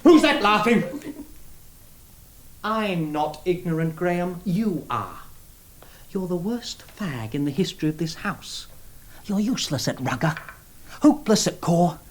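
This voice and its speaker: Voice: mid-range voice, expressive, measured pace, pauses often, a little hiss or hum, lightly acted. An actor playing a haughty, taunting public-school bully in a period radio drama, with an English accent. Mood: scornful.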